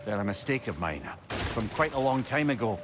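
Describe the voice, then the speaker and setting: An actor playing a wry, learned old severed-head advisor in a video game, articulate and wordy. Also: male voice, medium pitch, sing-song, normal speed, close to an everyday voice. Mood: explaining, regretful. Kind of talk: dramatic video game acting. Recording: game music under the voice.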